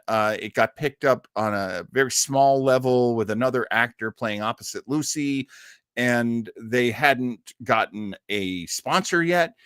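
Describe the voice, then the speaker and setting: A man's voice: medium pitch, fairly bright tone, smooth, dry recording, clean studio sound. A man hosting a casual live online show, talking to viewers in a chatty, jokey manner. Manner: chatty and jokey, often chuckling. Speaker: actor. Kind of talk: casual livestream chat to viewers.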